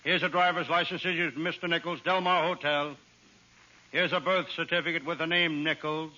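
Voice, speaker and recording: An actor playing a wisecracking hard-boiled private eye, delivering comic lines deadpan in 1940s radio style. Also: male voice, on the high side, brisk. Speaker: comic detective narrator. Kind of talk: 1940s radio comedy acting. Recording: dull old radio transcription with hiss.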